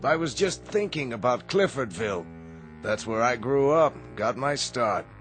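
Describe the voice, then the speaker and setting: Male actor as a pompous, patronizing tycoon: slow, animated delivery, condescending, radio drama. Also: medium pitch, soft background music, lightly acted. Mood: smug, patronizing.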